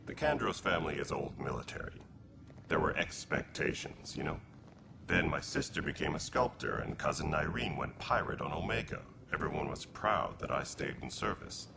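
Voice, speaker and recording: Wry alien militia officer, extremely deep, heavy gravel, laid-back, game cutscene, loud background noise. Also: male voice, very animated, moderate pace, heavily acted. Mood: reflective. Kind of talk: video game cutscene dialogue.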